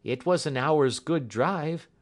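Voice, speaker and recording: A man's voice: a little high, animated, slow, pitched above the performer's own voice. A man voicing an earnest, shaken young engineer in an audiobook. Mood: uneasy. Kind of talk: audiobook character acting.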